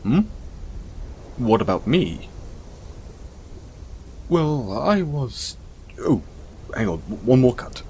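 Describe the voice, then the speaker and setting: A man playing a gentle, caring, self-conscious centaur in an intimate audio roleplay, speaking in a soothing, hesitant, apologetic way. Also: medium pitch, sing-song, takes it slowly, long pauses, slight background noise. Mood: caring, soft-spoken.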